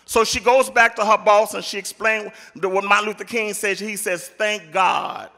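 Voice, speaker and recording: A man's voice: high voice, fairly even intonation, normal speed, vocal fry, bright, forceful tone. A middle-aged man at a church program, speaking into a handheld mic at a podium. He tells a story, quoting what each person said, and stammers now and then. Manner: retelling a story with many quoted lines, some stammering. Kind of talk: storytelling speech. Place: large church sanctuary. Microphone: handheld microphone at a podium. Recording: echoey church hall with faint music.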